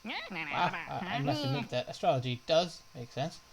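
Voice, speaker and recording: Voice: medium pitch, very animated, moderate pace, over a noisy crowd. A game streamer giving casual live commentary on their play and reading choices aloud.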